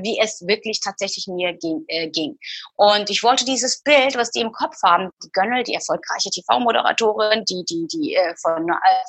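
Middle-aged woman, confessional guest, breathy tone, dry close sound. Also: a little high, fairly bright tone, no room sound. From Turkey. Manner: earnest, confessional, searching for words. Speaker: guest, former TV presenter. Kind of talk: personal interview.

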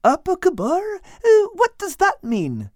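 Small male cat, very high swooping voice, nervous, stammering, excitable, folksy storybook character. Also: measured pace, dry recording, clean studio sound, exaggerated voice. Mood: flustered, friendly. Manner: stammering, exclamatory, folksy dialect wording.

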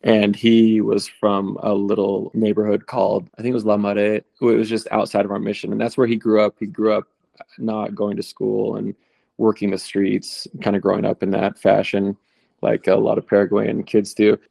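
A man in his late thirties, a returned missionary, telling stories in a relaxed, rambling interview style. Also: on the low side, moderate pace, vocal fry, no echo. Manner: relaxed, rambling with fillers and restarts. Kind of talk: conversational interview storytelling.

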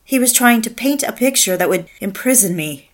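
An actress playing a young woman in love, confiding and reflecting in an earnest, measured dramatic delivery. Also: a little high, animated, lightly acted. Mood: brooding, reflective. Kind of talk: dramatic dialogue and reflective first-person narration.

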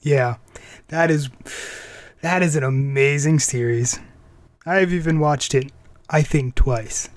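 Higher-voiced man hosting a casual podcast. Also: takes it slowly, long pauses, no room sound. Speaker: podcast host.

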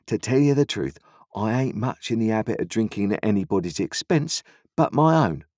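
A man's voice: on the low side, animated, a little mellow, no room sound, clean studio sound, voice pushed up. A man voicing a kindly, plain-spoken country blacksmith in homely dialect, raised well above his own voice. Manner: homely dialect grammar, slow and easygoing.

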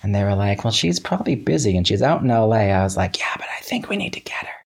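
Low-voiced, slightly husky man. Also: big pitch swings, quick pace. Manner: chatty, hesitant false starts, quoting himself conversationally. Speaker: interview guest, writer of an audio drama. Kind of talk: casual interview chat.

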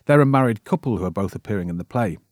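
A man with a fairly low voice narrates from a script. He presents in measured sentences, telling a story to listeners. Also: expressive, a little mellow, no room sound, clean studio sound.

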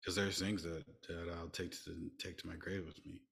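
A man's voice: deep voice, flat delivery, normal speed, creaky, fairly bright tone. A middle-aged man speaking earnestly as a podcast guest, often restarting and repeating himself. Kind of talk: reflective interview talk.

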